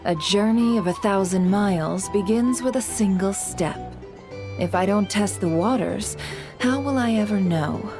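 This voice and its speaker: A woman playing a sly, smooth-talking businesswoman in a video game, coy, polite and measured. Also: mid-range voice, music in the background, close to an everyday voice. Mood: coy, teasing.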